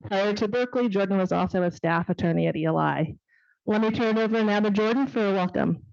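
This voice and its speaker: A woman hosting an event, reading speaker bios in a steady, formal way. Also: mid-range voice, fast, vocal fry, no room sound. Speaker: event host introducing speakers. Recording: dull, dry audio.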